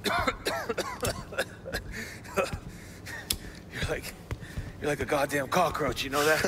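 A man's voice: on the high side, little pitch movement, fairly quick, bright, forceful tone, slight background noise. A man playing a wounded, cynical American rogue operative in a video game, ranting profanely and defiantly. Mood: weary, mocking. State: badly wounded, coughing. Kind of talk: video game cutscene acting.

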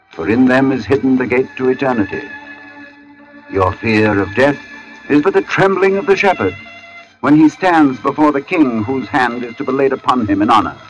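An actor playing a grave, measured artist who narrates in the first person in an old radio drama. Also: male voice, mid-range voice, close to an everyday voice. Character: artist recounting an uncanny encounter, grave and measured. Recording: dull old radio recording, music behind.

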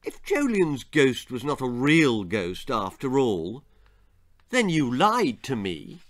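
A man's voice: medium pitch, huge pitch swings, slow pace, fairly bright tone, heavily acted. An older man voicing a frightened, impressionable young boy in a children's ghost story, yelping and pleading. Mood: challenging.

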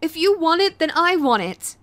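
A woman's voice: very high voice, sing-song, normal speed, fairly bright tone, no room sound, full character voice. A woman playing a sweet, clingy, remorseful yandere girlfriend in an audio roleplay, hesitant and pleading, speaking intimately to the listener. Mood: hesitant, earnest. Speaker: voice actor.

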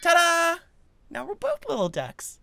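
Casting: male actor for a doting devil boyfriend in audio roleplay, awkward and stammering, very animated pitch, bright tone. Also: unhurried, vocal fry, faint music behind, full character voice. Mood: giddy, playful. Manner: stammering, gushing, swings from gentle to manic.

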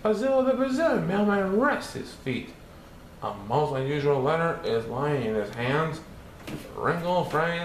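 A man with a fairly high, very animated voice reads a children's rhyming storybook aloud. His delivery is theatrical and sing-song, following the beat of the verse. The room adds clear echo. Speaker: storybook reader. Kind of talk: expressive children's picture book read-aloud. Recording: roomy echo with some background noise.

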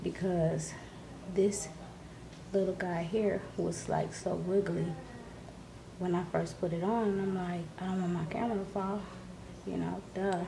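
A woman with a fairly low, creaky voice talks in a hushed, casual way, like a vlogger showing off a product at home. Her delivery is unhurried, and the camera recording carries clear background noise. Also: steady tone. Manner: hushed, keeping voice down. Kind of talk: casual product demonstration chat. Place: living room at home, indoors.

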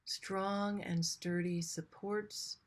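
A woman leading a guided meditation in a gentle, soothing manner, leaving long pauses between short phrases. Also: medium pitch, fairly even intonation.

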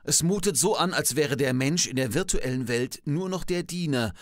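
A man's voice: fairly high pitch, lively intonation, moderate pace, no room sound, clean studio sound, natural voice. A man playing an old-fashioned, technology-skeptical professor in a scripted satirical dialogue, pedantic and theatrical. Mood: skeptical, earnest.

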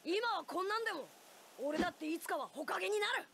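A woman voicing a cheeky, stubborn boy ninja in a game cutscene, using rough boyish slang. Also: very high-pitched, animated, fast talker, bright, forceful tone, slight background noise, exaggerated voice. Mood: defiant.

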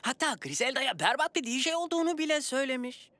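Excitable comic cartoon cat, high voice, full of showy self-importance. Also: female voice, fast talker, no echo. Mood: puzzled. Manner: theatrical, comic. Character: excitable, boastful, silly talking pet cat. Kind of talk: children's cartoon dub.

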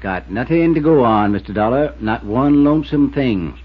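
An actor playing a glum, middle-aged small-town constable with a rural American accent, husky and terse, in a 1950s radio drama. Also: male voice, mid-range voice, animated. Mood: glum, matter-of-fact. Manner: folksy, terse, slow to commit. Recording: muffled 1950s radio recording.

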